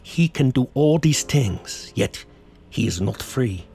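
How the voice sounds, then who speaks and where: An actor playing a grave older professor who hunts vampires, speaking in solemn, deliberate oratory in a horror audio drama. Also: male voice, medium pitch, animated, vocal fry, warm tone. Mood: grave, urgent. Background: music.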